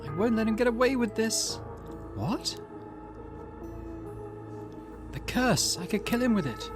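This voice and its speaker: A man's voice: high-pitched, sing-song, normal speed, music in the background, pitched above the performer's own voice. A man voicing an emotional, impulsive teenage schoolgirl from a visual novel game. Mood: furious. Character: agitated teenage schoolgirl, furious then apologetic.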